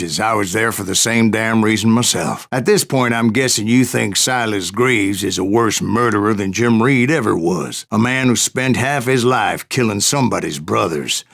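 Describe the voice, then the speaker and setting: An actor playing an old American Wild West bounty hunter, gruff and weathered, spinning vengeful tales in an unhurried, folksy, salty way. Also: male voice, lowish voice, fairly bright tone. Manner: unhurried, folksy, salty-tongued drawling storyteller. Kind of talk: first-person yarn-spinning narration for a Western game.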